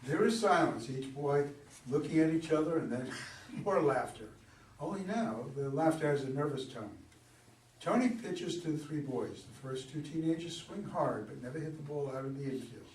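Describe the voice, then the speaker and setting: A man's voice: on the high side, animated, moderate pace, a little mellow. A man reading stage directions as narrator at a staged play reading. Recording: echoey room, far from mic, background noise.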